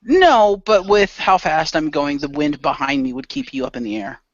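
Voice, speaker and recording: A man's voice: on the high side, expressive, normal speed, no echo. A man chatting casually over an online voice call as a player in a radio play. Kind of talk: casual chat. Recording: dull, dry voice-call audio.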